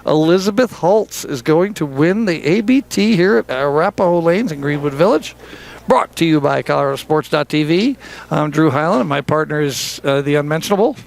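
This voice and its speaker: A man's voice: fairly high pitch, lively intonation, fairly quick, creaky. A man doing live bowling commentary in a joking, chatty way, teasing his partner and laughing often. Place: bowling center.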